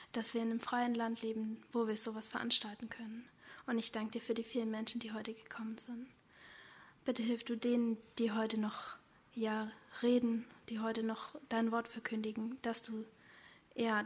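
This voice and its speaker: Breathy woman praying in a flat tone. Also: on the high side, moderate pace. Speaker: prayer leader. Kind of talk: spoken prayer.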